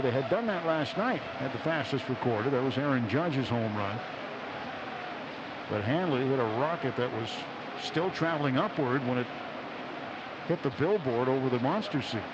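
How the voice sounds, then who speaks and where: A man calling baseball play-by-play on the radio in a measured, descriptive way, pausing between short phrases. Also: mid-range voice, heavy background noise. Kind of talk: live radio sports commentary. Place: outdoor ballpark broadcast booth. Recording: dull radio broadcast, loud stadium ambience. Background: loud outdoor ballpark ambience.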